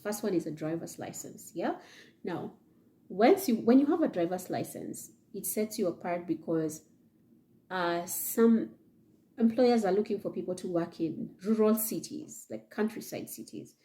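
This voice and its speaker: A woman's voice: lowish voice, lively intonation, a little slow, mellow. A young Kenyan woman with a Kenyan accent talking to camera indoors, giving chatty advice and often checking in with "yeah?" and "okay?". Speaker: vlogger. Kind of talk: casual advice talk to camera. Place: indoor room, seated in front of leather chair.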